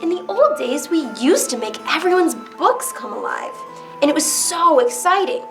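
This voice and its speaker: Lady doing an eager polite magic creature for a kids play, high and super expressive. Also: moderate pace, over music. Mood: wistful. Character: eager, courteous magical creature serving royalty. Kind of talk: children's reader's theater.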